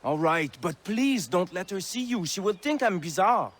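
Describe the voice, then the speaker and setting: A man playing an anxious, lovesick settler in a video game, with a French accent and an excitable manner. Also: high-pitched, moderate pace, slight background noise. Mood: fretful. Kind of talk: video game cutscene acting.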